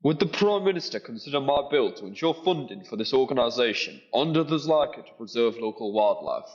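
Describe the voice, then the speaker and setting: An actor playing a polite, earnest backbench politician in an audio drama, courteous and formal. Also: male voice, mid-range voice, very animated, brisk, highs cut off, close to an everyday voice.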